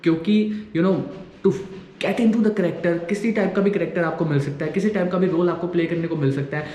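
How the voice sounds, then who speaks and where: A young man with an Indian accent talks informally and explains things as in a casual tutorial vlog, mixing two languages. His voice sits slightly high. The room is clearly echoey, with faint noise behind him. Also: a little fast, dark tone. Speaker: vlogger giving acting tips.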